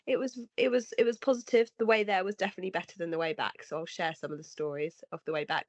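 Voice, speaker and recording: A woman in her thirties with a cold, sounding slightly nasal and husky, chatting earnestly as a podcast co-host. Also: lowish voice, no room sound. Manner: earnest, rambling with restarts. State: has a cold, sniffly. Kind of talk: casual conversation. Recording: dry, slightly dull lo-fi sound.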